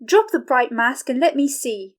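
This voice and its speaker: An actress playing a frightened yet defiant young wife in a poetic stage drama, speaking in a declamatory way. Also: female voice, high-pitched, animated, normal speed. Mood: fearful, demanding.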